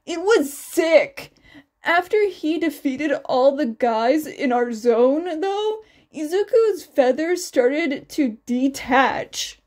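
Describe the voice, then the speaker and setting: An eager, impressed teenage student from an anime-style story , played by a woman. The voice is high and youthful, with casual, drawn-out delivery. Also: lively intonation, a little mellow.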